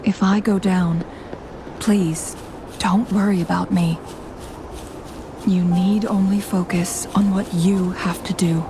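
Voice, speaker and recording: An actress playing a calm, earnest young female companion in a video game, speaking in a measured, formal, soft-spoken way. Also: lowish voice, background noise. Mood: calm, solemn. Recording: loud background music. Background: game music.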